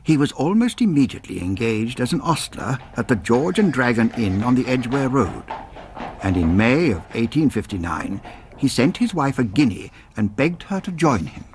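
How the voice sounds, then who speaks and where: An actor playing a formal historian, narrating a radio drama in a measured, literary manner. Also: male voice, mid-range voice, very animated, darkish tone, a little hiss or hum. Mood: measured, serious. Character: formal male historian narrating a Victorian murder.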